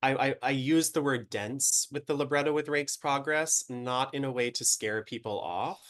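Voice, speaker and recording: A man's voice: mid-range voice, normal speed, fairly bright tone. A man hosting an online opera lecture, friendly and a little hesitant, often starting with "um" and "you know". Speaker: opera company producer and interviewer.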